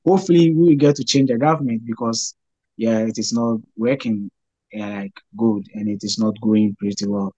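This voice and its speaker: A young man from Ghana with a Ghanaian accent, a graduate student answering interview questions over a video call, halting and full of fillers. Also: medium pitch, darkish tone, breathy edge, no echo, poor audio. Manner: halting, repeats words, many "like" fillers.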